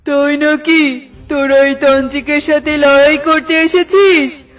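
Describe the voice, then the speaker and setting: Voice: high-pitched, flat delivery, measured pace, far from the mic. An actor voicing an arrogant, boastful evil male sorcerer in a children's cartoon, with a taunting villain manner. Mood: menacing, gloating.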